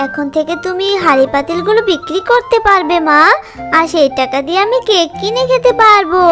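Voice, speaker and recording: A woman's voice: very high-pitched, lively intonation, fairly quick. A woman voicing an innocent, eager little jackal girl from a poor family in a cartoon. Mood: amazed, delighted. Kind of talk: cartoon voice acting. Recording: children's music behind the voice.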